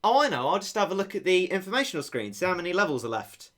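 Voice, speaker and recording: A young man commentating a mobile game, excitable and cheeky, stammering on his repeats, his voice getting worn from shouting. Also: sits high, quick pace. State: losing his voice. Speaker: gaming YouTuber.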